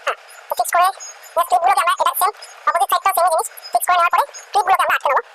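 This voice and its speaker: A woman's voice: very high-pitched, fairly even intonation, rapid-fire, pushed and bright. A woman narrating a step-by-step tutorial. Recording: noisy ambient background.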